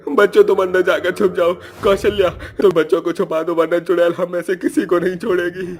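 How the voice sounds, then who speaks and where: A man's voice: high voice, flat delivery, a little fast, close to an everyday voice. A man voicing a frightened village father in a horror cartoon, anxious and urgent as he protects his family. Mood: panicked. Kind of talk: melodramatic horror cartoon voice acting.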